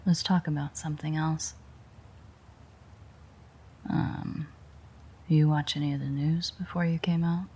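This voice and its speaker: A woman playing a weary, sardonic, teasing female vampire in an intimate audio roleplay, with a breathy, husky voice that often drops near a whisper and a dry, sarcastic way of talking. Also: sits low, little pitch movement, unhurried, pauses often, mellow, full character voice. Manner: dry, rambling, sarcastic banter.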